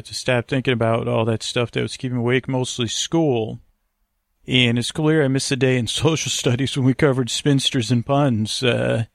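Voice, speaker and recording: A man hosting a sleep podcast in a creaky, gravelly voice, wandering through a halting, self-deprecating bedtime monologue. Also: mid-range voice, dry recording, studio quality. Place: wood-panelled lakeside cabin bedroom.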